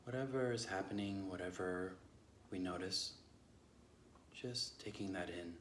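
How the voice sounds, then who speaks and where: A man leading a guided meditation in a measured, unhurried way with long pauses between phrases. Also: deep voice, fairly bright tone, roomy sound, a little hiss or hum. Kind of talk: guided meditation, slow instruction.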